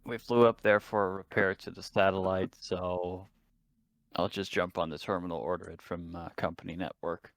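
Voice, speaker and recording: A man's voice: low-pitched, steady tone, normal speed, no echo. A man chatting casually as a player in a tabletop roleplaying game, swearing now and then.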